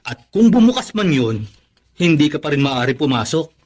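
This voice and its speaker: A man's voice: fairly high pitch, big pitch swings, normal speed, a little mellow. An actor playing a teasing, wisecracking Filipino male buddy who hands out mock wisdom in radio drama style. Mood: teasing, mock-sorry. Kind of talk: Tagalog radio drama acting.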